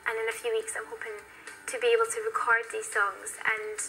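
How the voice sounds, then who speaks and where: Young British woman, bright clear-cut tone, very even pitch, candid and reflective, personal testimony, music underneath. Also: normal speed, low-quality recording. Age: 20s. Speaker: documentary interviewee.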